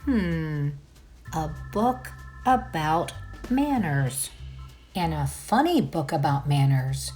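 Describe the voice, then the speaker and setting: A woman's voice: deep voice, very animated, slow pace, mellow, faint music behind. A woman reading a children's storybook aloud expressively, with dramatic delivery and musing asides to the listeners. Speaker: children's book read-aloud narrator.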